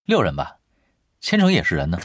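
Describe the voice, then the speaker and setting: A man's voice: deep voice, huge pitch swings, fairly quick, fairly bright tone, exaggerated voice. A male actor playing a confident, casually teasing gamer tactician in a Chinese radio drama, explaining things in an offhand way. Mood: amused, confident. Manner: offhand, self-assured, explanatory. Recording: background music under the voice.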